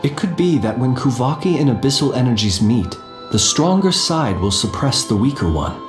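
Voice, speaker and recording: An actor playing a composed, analytical gentleman soldier in a video game, speaking in a measured, formal, deliberate way. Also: mid-range voice, big pitch swings, reverberant, over music, close to an everyday voice. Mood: pondering. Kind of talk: video game character dialogue.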